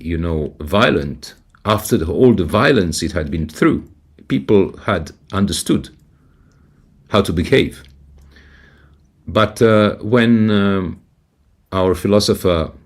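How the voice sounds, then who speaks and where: An older man from Yugoslavia speaking as an interview guest on a video call, halting with many restarts and fillers. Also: deep voice. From Yugoslavia, of Serbian origin. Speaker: guest, writer and translator. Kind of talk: conversational interview answer.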